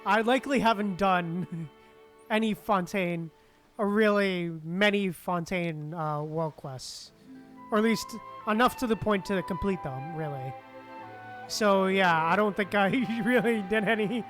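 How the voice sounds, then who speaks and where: A man's voice: high-pitched, a little slow, a bit bright. A man chatting casually on a game live stream in a laid-back, slangy, giggly manner. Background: game music.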